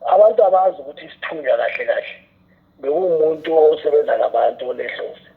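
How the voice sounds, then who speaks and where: Through a thin, phone-like band comes a South African man with a slightly high voice. He talks fast as he explains his points as an interview guest, setting up questions and slipping English phrases into his speech. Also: animated, creaky. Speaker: radio drama scriptwriter and teacher, interview guest. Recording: tinny, narrow phone-like sound.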